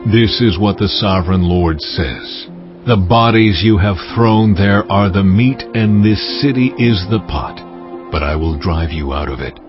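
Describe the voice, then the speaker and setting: Man acting as God, low-pitched, stern and solemn, slow weighty declamation, dramatized scripture, dull sound, loud music behind. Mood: stern, wrathful. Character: solemn, commanding God pronouncing judgment on Israel.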